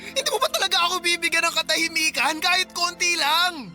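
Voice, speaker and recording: An exasperated young husband. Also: female voice, very high voice, brisk, music in the background. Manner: defensive, exasperated. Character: young husband, hot-tempered and defensive. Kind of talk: radio drama acting.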